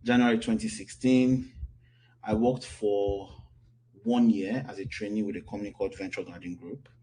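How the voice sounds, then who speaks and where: A man's voice: fairly low pitch, fairly even intonation, moderate pace, mellow, slight background noise, poor audio. A Nigerian man in his thirties, an instructor answering questions in a loose, chatty online class. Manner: loose, chatty, with lots of fillers and restarts.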